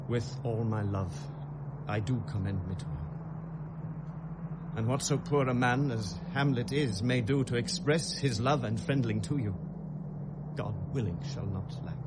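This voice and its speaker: An actor playing a feverish, grieving young prince with a British accent, in a classical Shakespearean radio drama, with theatrical pauses. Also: male voice, lowish voice. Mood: weary, subdued. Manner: volatile, theatrical delivery with rhetorical pauses. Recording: noisy old radio drama recording.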